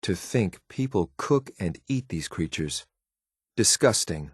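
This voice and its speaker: A man's voice: low-pitched, animated, slow, slightly rough, dry recording, studio quality, heavily acted. A man voicing a stern, dutiful synth soldier companion in a video game, speaking in a clipped, matter-of-fact military way with some disdain. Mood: contemptuous.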